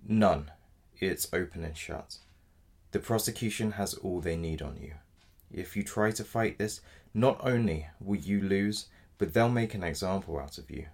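An actor in an audio drama playing a polite but blunt defence solicitor, gravelly and measured in his delivery. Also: sits low, a little mellow, heavily acted. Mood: blunt, resigned. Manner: measured, matter-of-fact, formally polite. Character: apologetic but blunt male defence solicitor in dystopian future.

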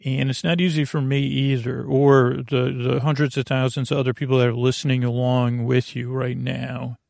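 A man hosting a bedtime podcast in a rambling, halting monologue, whimsical and gently reassuring, often repeating himself and trailing off. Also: medium pitch, little pitch movement, gravelly, no echo, studio quality. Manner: meandering, halting, repeats and trails off mid-sentence. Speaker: podcast host.

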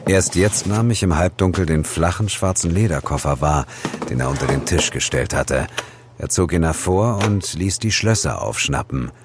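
An actor playing a world-weary, sardonic ghost hunter, narrating an audio drama in a measured, dry way. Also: male voice, deep voice, animated, noisy background. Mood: brooding, measured. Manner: measured storytelling with dry sarcasm. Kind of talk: scripted first-person audio drama narration. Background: loud music.

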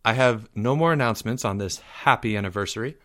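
A man's voice: on the low side, quick pace, a bit bright, natural voice. A man voicing an orderly schoolboy club president in a formal, firm, businesslike manner.

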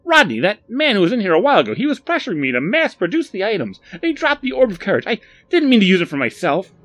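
Casting: touchy male shopkeeper for an audiobook, played by a man, pitched up, quick-tempered, blustery, swinging widely in pitch. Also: huge pitch swings, pushed and bright, full character voice. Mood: flustered, apologetic. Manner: blustering, stammering when flustered. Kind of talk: audiobook character acting.

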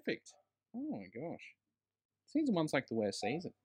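A man's voice: fairly high pitch, wildly swooping pitch, a little fast, a little mellow, breathy edge. Blokey, emphatic Australian man, podcast co-host. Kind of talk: casual film podcast chat.

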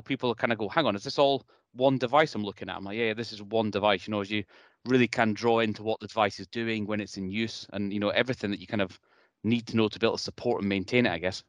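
Fast-talking British man, informal. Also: lowish voice, a bit bright, no room sound. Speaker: podcast co-host, technical specialist. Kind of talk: casual technical chat.

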